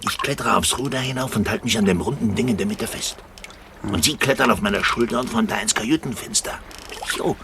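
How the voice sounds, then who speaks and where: An actor playing a sturdy, practical sailor in a radio drama, speaking tersely with a gravelly voice. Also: male voice, on the low side, a little fast. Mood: determined. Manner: terse, urgent, plain-spoken. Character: shaggy, sturdy sailor companion, bold and practical. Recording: 1970s radio play with clear noise.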